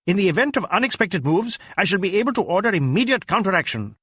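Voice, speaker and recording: A man plays a pompous police superintendent in a crime drama. He barks clipped orders, pitched high and close to the mic with no room around him. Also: sing-song, fast, fairly bright tone, slightly rough, no echo, heavily acted. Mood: commanding. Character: barking, self-important male Indian police superintendent.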